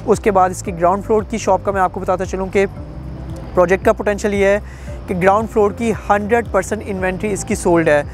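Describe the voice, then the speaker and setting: A man's voice: sits high, fairly even intonation, fast talker, soft background music. Persuasive young Pakistani salesman outdoors. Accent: Pakistani Urdu. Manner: confident, persuasive salesman tone with frequent filler phrases. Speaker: real estate agent. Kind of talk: promotional to-camera sales pitch. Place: outdoors at a construction site.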